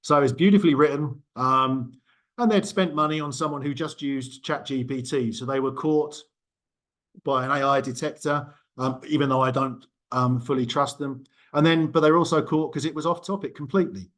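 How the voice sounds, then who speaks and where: A man's voice: mid-range voice, normal speed, a bit bright. A middle-aged man lecturing in a casual group discussion, talking loosely with frequent ums and self-corrections. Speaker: university lecturer. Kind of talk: small group discussion talk.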